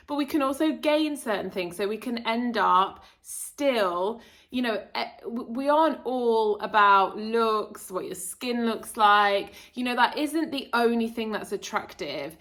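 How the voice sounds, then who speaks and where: A woman talks straight to her viewers in a casual, earnest way. She drifts through her thoughts and corrects herself mid-sentence, and her voice sits at an ordinary middle pitch. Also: fairly bright tone. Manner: earnest, rambling, with fillers and self-corrections. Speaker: channel host. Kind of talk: casual spiritual self-help talk to camera.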